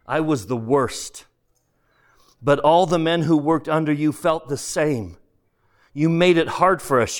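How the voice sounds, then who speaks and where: A man's voice: on the high side, lightly acted. A man playing a serene, mirthful heavenly spirit. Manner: calm, gentle, candid, quietly amused. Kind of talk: dramatised audiobook character voice.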